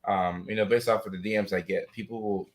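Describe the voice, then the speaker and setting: A man's voice: lowish voice, fairly even intonation, fast. A man hosting a live talk in a casual, supportive way, effusive and validating, with some strain in his voice. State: vocal trouble, strained voice. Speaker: host of a live talk show. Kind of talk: casual, supportive interview chat.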